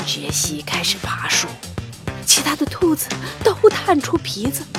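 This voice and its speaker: A woman narrating a children's audio drama in an expressive, dramatic storytelling manner. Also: mid-range voice, unhurried, creaky. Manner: dramatic, sometimes hushed storytelling. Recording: music behind the voice.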